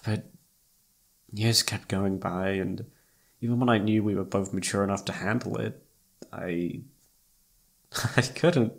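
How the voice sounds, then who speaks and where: Young male best friend played by a man, romantic audio roleplay. Husky with vocal fry, intimate, emotional, halting, self-reproachful. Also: fairly low pitch, fairly even intonation. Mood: regretful.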